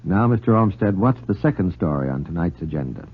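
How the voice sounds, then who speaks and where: A man's voice: sits low, animated, brisk, slightly rough. A man hosting a 1950s radio show in a period announcing style. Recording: narrow, muffled 1950s radio recording.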